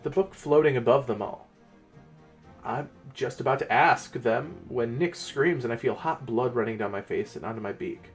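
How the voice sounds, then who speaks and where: A man's voice: mid-range voice, normal speed. Theatrical male storyteller over music. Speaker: host, game master and narrator. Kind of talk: dramatic reading and channel presenting.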